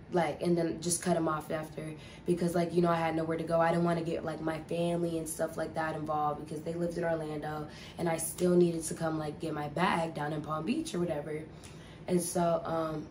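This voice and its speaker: A woman's voice: sits low, a little mellow, slight background noise. A young woman telling a casual storytime, rambling and profane with lots of filler words. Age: young adult. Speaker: vlogger.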